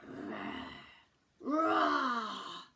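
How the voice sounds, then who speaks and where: A woman voicing a big young dinosaur in a children's storybook with loud, drawn-out roars. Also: on the high side, wildly swooping pitch, gravelly, voice pushed up, exaggerated voice. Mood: roaring.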